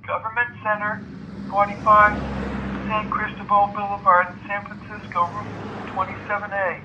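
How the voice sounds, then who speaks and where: Guy doing tired worried lady in a story, weak shaky high voice, noisy recording. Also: completely flat delivery, moderate pace, highs cut off, natural voice. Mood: pleading. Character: weak, tired, pleading woman named Peg.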